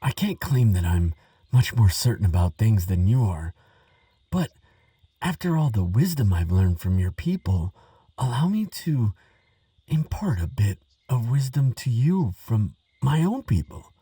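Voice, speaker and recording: Man acting a dark, husky, nervous male scholar. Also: lowish voice, sing-song, unhurried, no room sound, recorded from a distance, heavily acted. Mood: sympathetic, reassuring. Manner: hesitant, rambling, gentle, with stammers and sighs. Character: nervous, kindly, chatty male scholar researcher. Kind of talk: audio roleplay monologue addressed to the listener.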